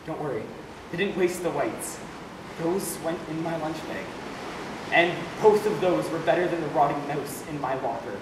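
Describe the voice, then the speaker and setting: A man's voice: fairly high pitch, moderate pace, natural voice. A man playing a wounded, sarcastic bullied schoolboy in an amateur stage play, with a sardonic delivery. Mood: bitter, sarcastic. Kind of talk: amateur one-act stage play monologue. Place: stage performance in a room or hall. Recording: very noisy, echoey, distant stage recording.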